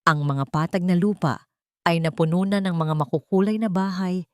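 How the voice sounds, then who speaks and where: Woman, lowish pitch, dry studio-like sound, scripted romance narration, sentimental storyteller, measured delivery. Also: a little mellow, no echo.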